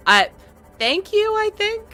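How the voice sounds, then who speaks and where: Lively chatty woman streamer. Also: very high voice, slow. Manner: chatty, talks to chat and to herself. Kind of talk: casual live game commentary. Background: game music.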